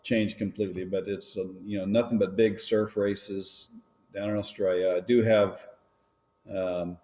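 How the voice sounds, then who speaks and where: Male guest, low register, loose rambling delivery, casual stream chat. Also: a little slow. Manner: relaxed, rambling, many ums and restarts. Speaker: filmmaker guest.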